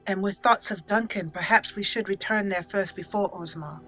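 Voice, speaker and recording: A woman with a bright, clear-cut voice hosts a roleplay playthrough. She chats informally and reads her character's lines aloud, close to the mic, with game music faintly behind her. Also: mid-range voice, normal speed. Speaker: gaming channel host. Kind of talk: roleplay let's play commentary, reading dialogue aloud.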